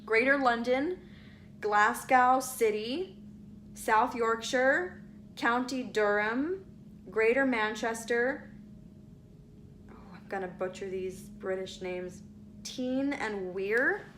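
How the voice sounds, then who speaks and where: A woman's voice: a little high, takes it slowly, long pauses, fairly bright tone, a little hiss or hum. A young woman vlogging casually, reading results aloud with chatty asides and tripping over place names.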